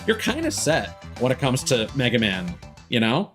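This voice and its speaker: Guy game streamer, normal pitch voice, rambly opinionated chat, stop and start w emphatic bits, music playing behind him. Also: sing-song, normal speed, a bit bright. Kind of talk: casual opinionated rambling on a livestream.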